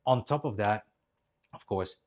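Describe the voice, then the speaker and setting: A man's voice: on the low side, a little slow, a little mellow. A man of about fifty giving a loose, chatty presentation, with many restarts as he talks. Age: 50s. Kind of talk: casual live presentation. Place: clinic presentation room.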